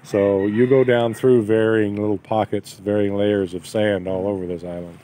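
A middle-aged or older man with a fairly low voice chats casually. He rambles along in a folksy, storytelling way. The recording is made outdoors with some ambient noise behind him. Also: flat delivery, normal speed, warm tone, rough recording. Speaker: vlogger, expatriate farmer. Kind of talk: casual chat. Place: outdoors at a waterside building site.

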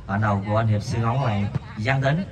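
A man's voice: fast, distant mic. A man commentating a local night football match, reading viewer messages and rising sharply on shots. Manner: reads viewer messages between plays, jumps sharply higher on shots. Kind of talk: live amateur football commentary. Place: outdoor floodlit five-a-side pitch at night.

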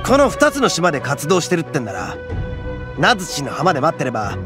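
Brash proud oni fighter, rough swagger, raised voice, game music underneath. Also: male voice, on the high side, huge pitch swings, a little fast. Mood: gruff, determined. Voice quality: gravelly, swaggering rough speech. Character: brash boastful male oni brawler, rowdy and proud. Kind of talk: Japanese game voice acting.

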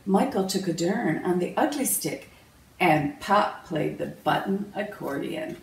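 A woman reading a children's picture book aloud in a measured, expressive storytelling manner. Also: on the low side, gravelly. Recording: roomy, distant mic, faint noise.

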